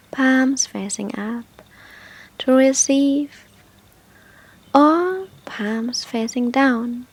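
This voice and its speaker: A woman leads a guided meditation in a high, soft voice. Her delivery is slow and unhurried, leaving long pauses between gentle instructions. The tone is airy and husky, often close to a whisper.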